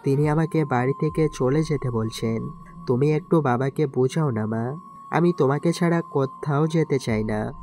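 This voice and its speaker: An actor playing a wounded, pleading young outcast son in an audio story, earnest and plaintive. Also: male voice, mid-range voice, normal speed, a little mellow, soft background music, close to an everyday voice. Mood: sorrowful pleading. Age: young adult. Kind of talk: dramatized audio story acting.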